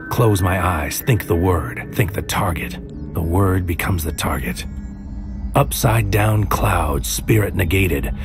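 An actor playing a grim, intense psychic soldier who narrates a sci-fi audio drama in clipped, cryptic, incantatory fragments. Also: male voice, sits low. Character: male psychic soldier on a mission into another's mind, grim, intense. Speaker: narrator and lead character. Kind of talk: scripted sci-fi audio drama, spoken-word monologue.

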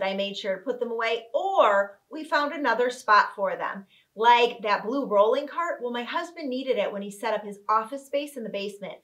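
A woman's voice: fairly high pitch, pushed and bright. Upbeat woman giving organizing tips. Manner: upbeat, instructive, clear step-by-step. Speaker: home organizing vlogger. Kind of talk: home organizing tutorial. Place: living room with couch, indoors.

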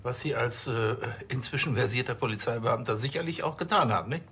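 An actor playing a self-important, condescending police boss with a halting, pompous manner in a TV crime comedy. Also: male voice, mid-range voice, a bit bright, a little hiss or hum, natural voice.

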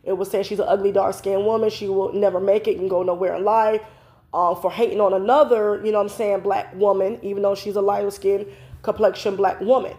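A woman's voice: medium pitch, flat delivery, a little fast, dark tone. A stuffy-nosed woman in her thirties talks straight into her phone at home, still congested from a cold. She rambles through her opinions with plenty of fillers, casual and sure of herself. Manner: chatty, opinionated, frequent "you know" fillers. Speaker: vlogger host. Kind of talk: casual commentary vlog. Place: home, indoors. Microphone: phone camera close up.

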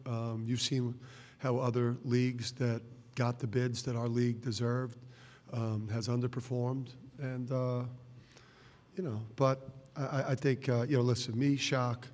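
A man's voice: mid-range voice, darkish tone. A middle-aged man, a basketball coach, answering press conference questions bluntly with many restarts and repeated words, speaking into a table microphone. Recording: reverberant press room.

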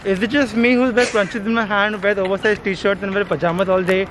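A man's voice: high-pitched, fairly even intonation, a little fast, a bit bright. A man in his twenties from India with an Indian English accent, ranting bluntly and swearing in casual chat with friends outdoors. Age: 20s. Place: outdoors on a city seafront. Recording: street noise in the background.

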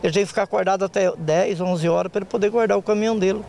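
A man's voice: high-pitched, steady tone, very fast, gravelly, darkish tone, slight background noise. An older man with a Brazilian Portuguese accent, a market association president speaking plainly in a street interview into a handheld mic outdoors. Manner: plain-spoken, rural speech, repeats words. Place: open-air market lot outdoors. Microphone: handheld reporter mic.

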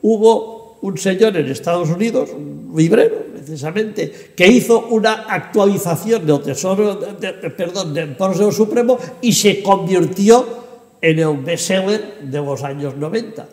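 High-voiced older man in an echoey room. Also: expressive, a little slow, a little mellow. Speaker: podcast guest, translator and editor. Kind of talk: animated interview conversation. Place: indoor book-lined room. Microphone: lapel. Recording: echoey room with long reverb.

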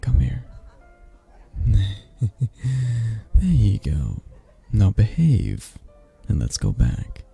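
A man plays a possessive mafia boss in a romantic audio roleplay. His voice is very husky and breathy, with pitch that moves freely over a slow, halting delivery full of sighs. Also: wildly swooping pitch, soft background music, heavily acted. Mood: soothing, restrained. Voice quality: low, dark, husky, breathy. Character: possessive, menacing young mafia boss, softening into awkward tenderness.

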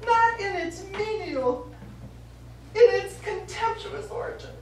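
A woman's voice: extremely high pitch, lively intonation, slow, heavily acted. A middle-aged actress playing a pompous, easily wounded woman painter on stage, in florid, grandiloquent style. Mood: offended, wounded. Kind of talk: live stage comedy acting. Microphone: distant audience camera. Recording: echoey small theatre, distant mic, faint noise.

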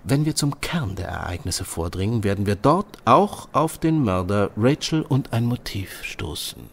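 A brilliant, self-assured detective who reels off deductions in a brisk, lecturing manner. His voice has a slightly husky grain. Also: lowish voice, animated. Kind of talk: audio drama acting.

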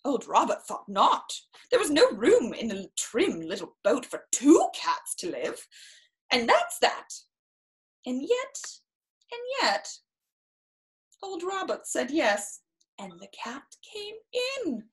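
A woman in her thirties reads a children's picture book aloud theatrically, with drawn-out emphasis and chatty asides. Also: sits high, huge pitch swings. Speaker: storyteller reading a picture book. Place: small room indoors, seated in an armchair.

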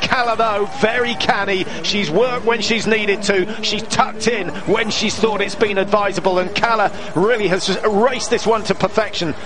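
A man giving live race commentary, excitable and often raising his voice to a shout as the action builds. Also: high voice, moderate pace, few pauses. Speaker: sports commentator. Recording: broadcast mix with loud crowd noise.

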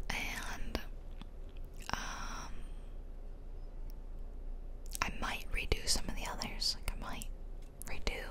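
A woman whispering casual, chatty ASMR commentary over a video game, close to the microphone. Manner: casual, chatty asides to viewers. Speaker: gaming video host. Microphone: close-up microphone, ear-to-ear whispering.

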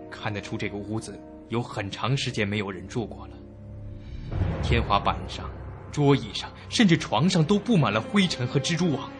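A man's voice: mid-range voice, lightly acted. An actor playing an uneasy young man, telling a ghost story in a suspenseful, dramatic first-person style. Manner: suspenseful, expressive. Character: uneasy young man narrating his haunted inn ordeal.

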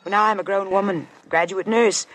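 An actress playing a sweet, coquettish young American nurse in a 1950s radio drama, gushing and coy. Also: female voice, medium pitch, fairly even intonation, fairly quick, a bit bright, lightly acted. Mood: coy, confessional. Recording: faintly noisy 1950s radio recording.

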